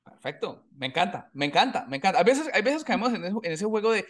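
Guy hosting a podcast over a video call, kinda high voice, upbeat, repeats himself a lot. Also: big pitch swings, quick pace. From Colombia. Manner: upbeat, repeats phrases, thankful. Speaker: podcast host. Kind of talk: casual interview chat. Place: home setup in a US city.

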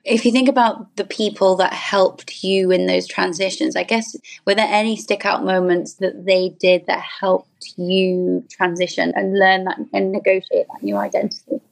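A woman's voice: medium pitch, fairly even intonation, normal speed, vocal fry, few pauses. A British woman speaking as a podcast guest in a conversational, reflective way, telling stories with acted-out quotes. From United Kingdom. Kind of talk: conversational interview.

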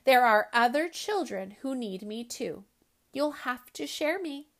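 A gentle, kind elephant from a children's storybook, as a woman reader gives him voice. He talks softly and slowly in a high, light voice. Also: lively intonation, full character voice. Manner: soft and gentle.

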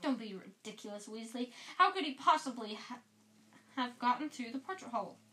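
A woman's voice: fairly high pitch, lively intonation, a little fast, pushed and bright. A woman voicing a stern older female teacher who speaks in a clipped, exasperated way.

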